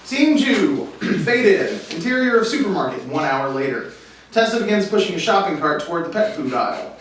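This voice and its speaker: A man's voice: sits high, very animated, normal speed, fairly bright tone. A man reading stage directions as the narrator of a script table read. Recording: echoey room, far mic, faint noise.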